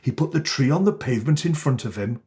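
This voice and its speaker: A man reading a children's storybook as Father Christmas, with theatrical, deliberate and expressive phrasing. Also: medium pitch.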